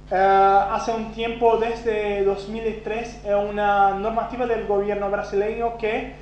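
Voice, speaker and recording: Brazilian man giving a halting conference talk. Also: high voice, a little mellow. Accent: Brazilian Portuguese. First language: Portuguese. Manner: self-deprecating, halting with many restarts. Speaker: conference speaker. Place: conference hall. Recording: roomy, dull lo-fi sound with faint hum.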